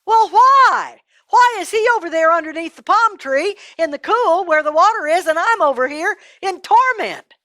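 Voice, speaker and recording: A woman's voice: high-pitched, lively intonation, brisk, bright, forceful tone, dry recording, clean studio sound, voice pushed up, exaggerated voice. A woman voicing a tormented rich man in a Bible story, pleading, indignant and stammering. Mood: bewildered, indignant.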